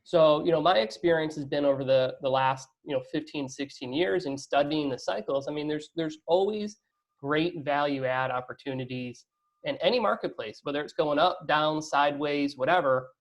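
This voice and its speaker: A man's voice: fairly high pitch, normal speed, highs cut off. A man answering as a guest in a business interview, talkative and explanatory, often checking that he is understood. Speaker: interview guest, real estate fund operator.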